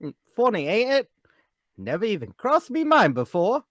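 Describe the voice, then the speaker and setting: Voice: medium pitch, huge pitch swings, moderate pace, a bit bright, no echo. A performer voicing a chatty, folksy, easily alarmed English woman who keeps a small-town shop, in a radio drama read. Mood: polite, chatty. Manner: deferential, folksy.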